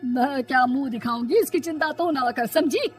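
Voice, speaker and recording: A woman's voice: high-pitched, moderate pace, soft background music, lightly acted. A woman voicing a nagging, exasperated middle-aged mother-in-law in a Hindi cartoon story, scolding and mocking. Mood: angry.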